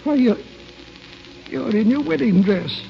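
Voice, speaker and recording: An actor playing a frail, remorseful, lovelorn old uncle in an old radio drama, halting and emotional. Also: male voice, very high-pitched, animated, vocal fry, faint music behind, heavily acted. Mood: yearning, awed. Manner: halting, emotional, repeating words. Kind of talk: 1940s radio drama acting. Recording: muffled, crackly old radio broadcast.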